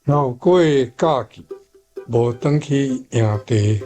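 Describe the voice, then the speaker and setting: Slow-speaking Taiwanese man. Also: medium pitch, warm tone, soft background music. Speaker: language teacher. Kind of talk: measured example translations.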